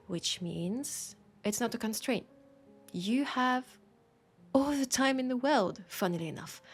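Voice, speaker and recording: Music plays behind a woman acting as a bookshop keeper with a French accent. She speaks slowly and softly at an ordinary female pitch, teasing and curious, in an intimate audio roleplay monologue that often drops toward a whisper. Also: slightly rough, heavily acted. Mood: teasing, wry. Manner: soft, teasing, musing, often dropping to a whisper.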